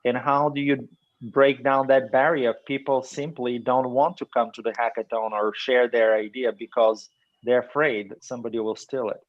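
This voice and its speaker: A man from Bulgaria speaking in a measured, thoughtful way as a panelist in an online webinar. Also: medium pitch, fairly even intonation. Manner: measured, thoughtful, some restarts. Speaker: webinar panelist asking questions. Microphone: computer mic on video call. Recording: muffled lo-fi webinar audio.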